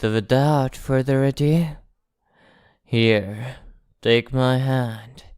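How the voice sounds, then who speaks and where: A woman playing an arrogant, possessive vampire captor in an ASMR roleplay, haughty, teasing and softly threatening. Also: rumbling low, very slow, smooth, dry recording, studio quality, heavily acted. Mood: smug, commanding.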